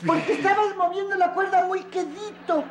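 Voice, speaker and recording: Very high, whiny little kid. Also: fairly even intonation, moderate pace, echoey room. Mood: accusing. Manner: whiny, plaintive, protesting. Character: naive, stubborn, sulky poor little child. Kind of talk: television sketch comedy acting. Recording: noisy old television soundtrack.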